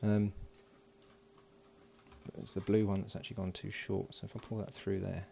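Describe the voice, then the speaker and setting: A man talking himself through a hands-on electronics project, halting and thinking aloud with frequent restarts. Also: sits low, flat delivery, vocal fry, mellow, a little hiss or hum. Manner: halting, muttering, many restarts and fillers. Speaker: electronics hobbyist.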